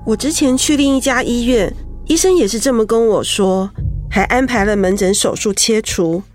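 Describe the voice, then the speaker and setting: A young married woman in a radio drama, played by an actress, composed with an anxious edge. Her voice sits fairly high, over faint background music. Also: normal speed, a little mellow, natural voice. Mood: anxious, polite. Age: young adult.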